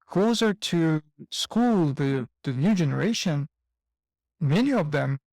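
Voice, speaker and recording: A man hosting a podcast interview, asking searching questions in a halting way. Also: fairly high pitch, animated, darkish tone, dry recording. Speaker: podcast host. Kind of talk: casual interview chat.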